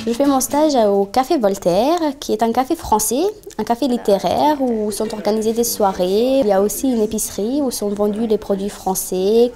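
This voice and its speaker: A young woman in her twenties, originally from Bosnia, speaks in a documentary interview. Her voice is mellow and soft-edged. She talks earnestly, pausing often and filling the gaps with small hesitations. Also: a little high, soft background music. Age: 20s. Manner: earnest, with frequent pauses and fillers. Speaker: student intern.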